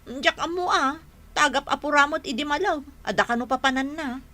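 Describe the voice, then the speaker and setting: A woman playing a bossy, scolding wife and mother in a radio drama, sharp and nagging, with an Ilocano Filipino accent. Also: sits high, moderate pace. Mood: complaining.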